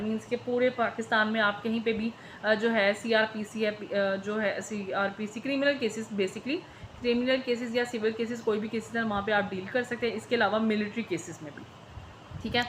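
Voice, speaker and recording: A woman's voice: a little high, fairly bright tone, slight background noise, rough recording. A woman with a Pakistani accent giving an informal law lecture, switching between Urdu and English terms as she explains. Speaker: law teacher.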